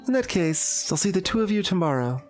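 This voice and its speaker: A woman playing a sweet, earnest high school club president in a visual novel, speaking in a gentle, hesitant, confiding way. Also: big pitch swings, brisk. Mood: composed. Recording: music behind the voice. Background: background game music.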